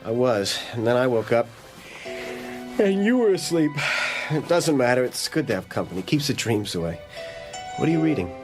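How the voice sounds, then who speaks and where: An actor playing a troubled young American patient who is haunted by nightmares, in a horror radio drama. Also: male voice, medium pitch, very animated, normal speed, over music, natural voice. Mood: soft, subdued.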